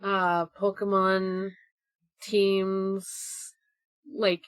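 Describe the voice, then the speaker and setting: A woman's voice: mid-range voice, level tone, drawn-out words, clear, resonant tone, no room sound. A woman podcast co-host bantering casually with friends in a giggly, rambling way. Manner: giggly, frequently swearing, rambling.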